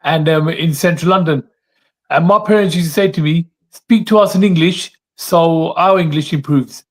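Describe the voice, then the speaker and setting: A man's voice: fairly high pitch, moderate pace. Man host from the UK, inquisitive. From United Kingdom. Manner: inquisitive, short affirmations. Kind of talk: casual interview chat. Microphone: remote video call.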